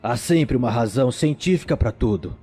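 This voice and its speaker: Man playing a brusque, animated medical examiner boss. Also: medium pitch, normal speed, slightly rough, faint music behind. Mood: matter-of-fact. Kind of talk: audio drama acting.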